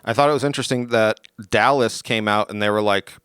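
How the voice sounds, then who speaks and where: A man's voice: lowish voice, fairly quick, a bit bright, smooth, no room sound, clean studio sound. A man, co-hosting a casual sports podcast, chatting eagerly about a player's career. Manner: enthusiastic, chatty with fillers. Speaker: podcast co-host.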